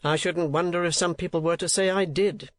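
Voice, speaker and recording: A man's voice: high voice, fairly even intonation, fairly quick, dry recording, pitched above the performer's own voice, natural voice. A man reading aloud, voicing a pompous, easily offended older rich uncle who talks sharply. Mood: huffy. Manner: sharp, huffy and self-important. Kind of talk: audiobook character voice.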